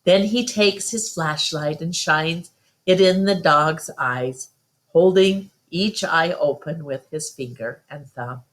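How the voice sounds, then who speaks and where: Slow-paced woman reading a story aloud. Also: low voice. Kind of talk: read-aloud narration of a first-person story.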